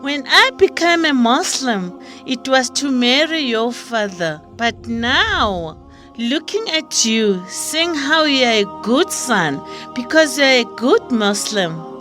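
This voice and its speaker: An actress playing a loving, unwell mother in an audio drama, tender and unhurried, with music behind. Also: a little high, big pitch swings, sharp tone, exaggerated voice.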